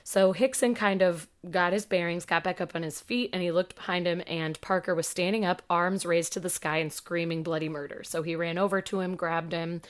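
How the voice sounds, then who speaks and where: Woman podcast co-host, thirties, casual storytelling, slightly low pitch. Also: fairly quick, few pauses. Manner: animated, swears, acts out quotes.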